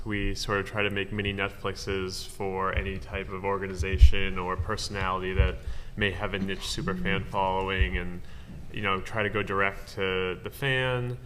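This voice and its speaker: Male panelist, deep monotone with a tense edge, hesitant, faint room noise. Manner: quick, hesitant, filler-heavy. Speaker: panelist, audience development manager. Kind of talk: conference panel introduction. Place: conference hall.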